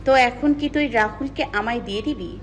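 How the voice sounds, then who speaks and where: A woman's voice: on the high side, moderate pace, natural voice. A woman playing a playful, easily embarrassed young woman in a dramatized audio love story. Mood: teasing. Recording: music under the voice.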